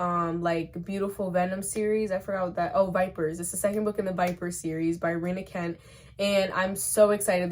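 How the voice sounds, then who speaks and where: A young woman vlogging about her reading, talking casually and rambling with lots of filler. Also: fairly low pitch. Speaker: book vlogger. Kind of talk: casual chat to camera.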